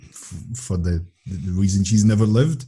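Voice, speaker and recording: A man in his forties with a very dark, warm tone and a husky texture. He speaks in a laid-back, reflective way and keeps his voice soft. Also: deep voice, normal speed. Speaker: interview guest. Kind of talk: casual interview chat.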